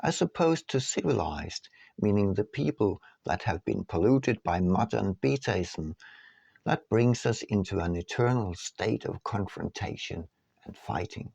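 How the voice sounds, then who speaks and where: Male author-narrator for audiobook, lowish gravelly voice, deliberate phrasing, lively intonation. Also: no room sound. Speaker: author reading own book. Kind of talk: audiobook-style scripted narration.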